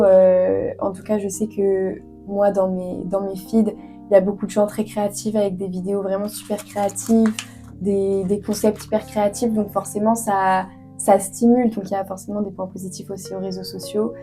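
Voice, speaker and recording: A woman's voice: medium pitch, dark tone. A young woman in her twenties from France, answering interview questions earnestly with many hesitations and fillers. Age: 20s. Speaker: author guest.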